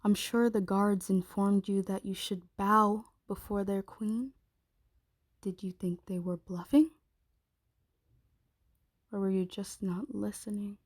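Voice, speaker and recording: A woman playing a menacing, possessive dragon shifter queen in an ASMR roleplay, commanding and often slipping into breathy whispers. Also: mid-range voice, steady tone, takes it slowly, long pauses, no room sound. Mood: mocking command.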